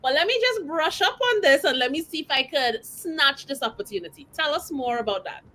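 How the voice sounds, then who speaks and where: A woman's voice: high-pitched, animated, fairly quick, pushed and bright. A woman hosting an interview, asking questions in a bubbly, enthusiastic manner. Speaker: interviewer, host.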